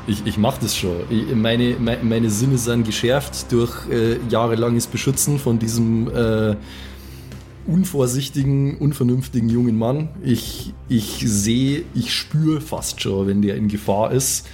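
A man with a mid-pitched voice improvises as a player in a tabletop role-playing game. He describes his actions casually, halting often as he thinks aloud. Soft music runs underneath.

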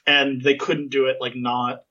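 A man's voice: mid-range voice, level tone, a little slow, bright, forceful tone. A man hosting a podcast, chatting casually and rambling with lots of fillers and restarts. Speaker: podcast host. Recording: muffled lo-fi sound.

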